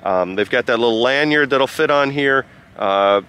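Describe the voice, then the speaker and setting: A man giving a casual vlog-style review outdoors in a halting, rambling way. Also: medium pitch, sharp tone, smooth. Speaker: product reviewer and amateur radio operator. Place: outdoors by a rural road.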